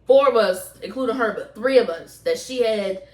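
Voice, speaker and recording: A woman's voice: on the high side, lively intonation, moderate pace. A woman chatting casually to camera in storytime style, rambling and slangy, with emphatic repeats. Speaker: vlogger. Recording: roomy echo, distant microphone.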